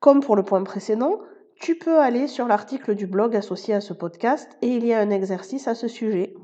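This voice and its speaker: A woman hosting a language-learning podcast, explaining pronunciation and vocabulary clearly and speaking straight to the listener. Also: on the high side, a little fast, mellow, highs cut off. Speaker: Spanish teacher and podcast host. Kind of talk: scripted podcast lesson.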